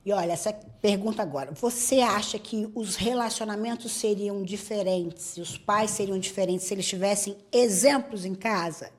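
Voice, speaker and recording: A woman hosts a podcast conversation, drawing her guest out with personal questions. Her voice is at an ordinary middle pitch, and she shares stories of her own in an engaged, conversational way. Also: normal speed, few pauses. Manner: warmly engaged, tells anecdotes. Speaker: podcast host. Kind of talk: interview conversation.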